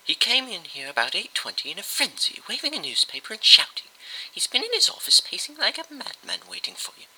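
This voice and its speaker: A man voicing an excitable female office secretary in a storybook reading. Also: high voice, brisk, a little hiss or hum, voice pushed up, exaggerated voice, poor audio. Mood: excited. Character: flustered office secretary woman.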